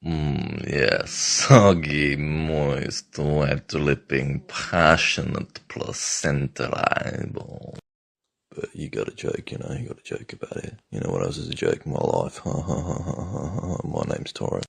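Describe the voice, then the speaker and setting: A man voicing a creepy, leering oddball who lingers over words in a gravelly, husky voice, as a character voice sample. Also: very deep voice, measured pace, a bit bright, no room sound, exaggerated voice. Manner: lingering, savoring delivery.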